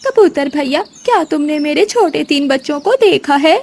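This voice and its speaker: A woman's voice: high-pitched, animated, normal speed, gravelly, a little mellow. A woman voicing a lazy mother crow in a children's cartoon, whiny and pleading in a dramatic way. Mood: worried. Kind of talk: Hindi children's cartoon voice acting.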